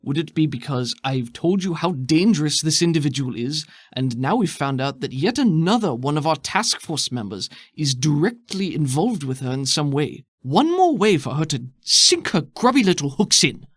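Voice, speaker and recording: A man voicing a stern, exasperated female plant creature in a tabletop game, with formal, cutting, deliberate phrasing. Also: a little high, sing-song, breathy edge, no room sound, studio quality. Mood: angry questioning.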